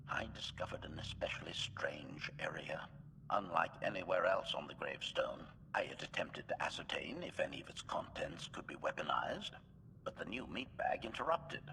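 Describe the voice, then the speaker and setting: An actor voicing a coldly eager, servile assassin droid in a game, with clipped announcements and a robotic filter. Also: male voice, rumbling low, big pitch swings, normal speed, bright, forceful tone, whispered, exaggerated voice. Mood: eager, menacing. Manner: clipped, announces each statement type first. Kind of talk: video game cutscene acting.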